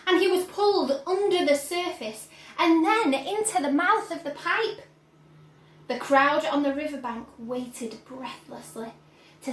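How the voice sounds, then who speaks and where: A young woman reading a children's story aloud with expressive, dramatic delivery. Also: high-pitched. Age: young adult. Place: bright plant-filled room at home. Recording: roomy indoor echo.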